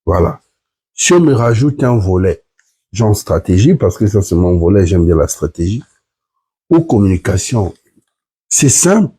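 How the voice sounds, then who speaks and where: Husky low-voiced man, sick. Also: big pitch swings, measured pace, pauses often, dark tone. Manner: earnest, explains point by point, addresses viewers directly. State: sick with flu, voice affected. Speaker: platform member hosting a live stream. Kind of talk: live stream address to viewers.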